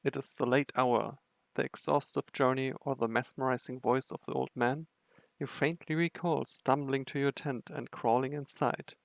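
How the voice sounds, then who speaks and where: A man with a creaky voice doing game commentary and reading story narration aloud. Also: medium pitch, fairly even intonation, normal speed, no room sound. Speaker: gamer narrating a playthrough. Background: faint music.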